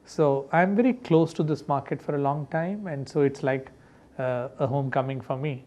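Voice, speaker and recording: A man's voice: fairly high pitch, darkish tone, highs cut off. Confident executive in his fifties. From India. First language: Tamil. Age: 50s. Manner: confident, expansive, pauses with "uh" between points. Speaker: company executive, interview guest. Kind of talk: interview answers.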